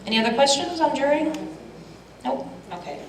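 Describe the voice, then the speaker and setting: A woman running a club business meeting, moving briskly through agenda items. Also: high voice, lively intonation. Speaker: meeting chair. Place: meeting hall. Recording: echoey hall, voice from far off, background noise.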